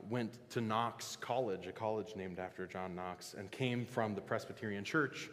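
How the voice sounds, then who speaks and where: Male pastor in a reverberant church. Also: mid-range voice. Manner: relaxed, joking, halting with fillers. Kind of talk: casual sermon. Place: church sanctuary. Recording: long reverb of a church hall.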